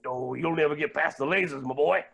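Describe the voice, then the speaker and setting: A man playing a flamboyant, menacing and unhinged billionaire villain in a staged play reading, with a raspy, creaky voice and grandiose delivery. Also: on the high side, fairly quick, heavily acted. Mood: smug menace. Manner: theatrical, grandiose, swinging from mutters to shouts.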